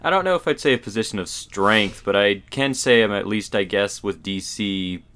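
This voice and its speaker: A man's voice: on the low side, moderate pace, a bit bright. A man co-hosting a podcast in casual chat, laid-back and colloquial, often hedging what he says. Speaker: podcast co-host.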